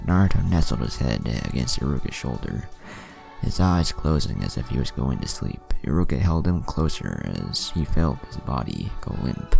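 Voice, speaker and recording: A man's voice: rumbling low, very animated, normal speed, gravelly, darkish tone. A man narrating a dramatic fan fiction story as a scripted reading. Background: music.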